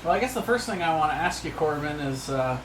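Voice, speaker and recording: A man's voice: a little high, expressive, fast talker. A man playing a tabletop game, talking things over casually and carefully, often repeating the start of a phrase. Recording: roomy echo, background noise.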